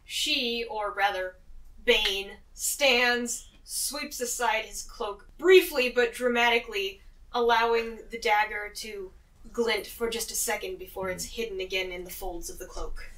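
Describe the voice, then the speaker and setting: A woman's voice: medium pitch, unhurried, fairly bright tone, poor audio. A woman playing a tabletop roleplaying game, describing her character's actions in narration.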